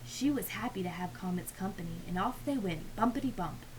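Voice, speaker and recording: A woman of medium pitch reads a picture book aloud to children. She tells it expressively and drops into hushed little asides. Clear background noise runs through the recording. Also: lively intonation, brisk, poor audio. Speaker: read-aloud reader.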